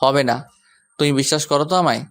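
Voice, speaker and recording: A man's voice: a little high, quick pace, a bit bright. A man playing a devoted, confident young lover in a romantic audio story, speaking firmly and protectively. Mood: reassuring.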